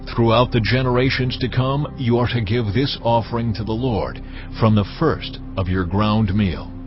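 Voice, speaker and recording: Man voicing a deep, commanding God. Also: natural voice. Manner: measured, authoritative, deliberate. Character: God, solemn commanding male deity giving laws. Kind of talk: dramatised scripture reading. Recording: slightly dull audio with music behind. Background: background music.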